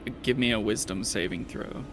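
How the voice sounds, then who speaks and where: A man's voice: fairly low pitch, fairly quick, a loud crowd, poor audio. A man acting as game master, casually narrating and calling for checks.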